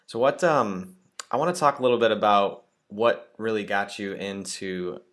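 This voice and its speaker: Lower-voiced upbeat male podcast host. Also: moderate pace, a bit bright. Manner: upbeat, chatty storyteller. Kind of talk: casual podcast interview.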